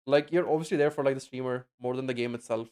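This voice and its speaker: A man's voice: medium pitch, steady tone, fast, darkish tone, clean tone. A young man streaming late at night, chatting loosely with his viewers, full of fillers and casual swearing. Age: young adult. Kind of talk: casual stream chat reading chat.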